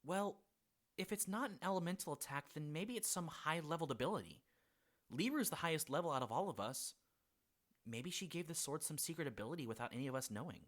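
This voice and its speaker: A blunt young male gamer, acted by a man with a bright, tense voice. He questions his friends in an exasperated way, as a character in a fantasy audiobook drama. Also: fairly high pitch, animated, quick pace. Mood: questioning.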